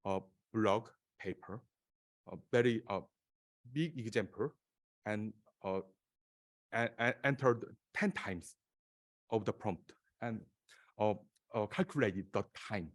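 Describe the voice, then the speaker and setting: A man from South Korea with a Korean accent and Korean as his first language, presenting slides at a conference in an auditorium with many halting pauses. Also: on the low side. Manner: halting, many filler sounds and restarts. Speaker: conference speaker.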